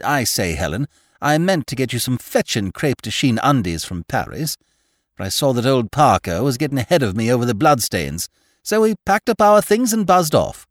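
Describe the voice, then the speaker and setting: A man's voice: medium pitch, sing-song, moderate pace, dry recording, studio quality, voice pushed up, close to an everyday voice. A man voicing a cheerful young aristocrat with an upper-class English accent, chattering in slangy, flippant exclamations in an audiobook. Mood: chatty, flippant. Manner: chirpy, slangy, rattling on with exclamations.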